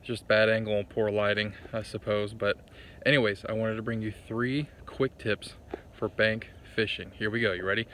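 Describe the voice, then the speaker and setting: A young man talks straight to the camera in a chatty, upbeat way. His voice sits a bit low. It is recorded on a phone held at arm's length outdoors by a lake, with faint open-air ambience behind him. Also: little pitch movement, moderate pace, fairly bright tone, slight background noise. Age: young adult. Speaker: fishing vlogger. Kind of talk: casual outdoor tips vlog. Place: outdoors on a lakeshore at dawn.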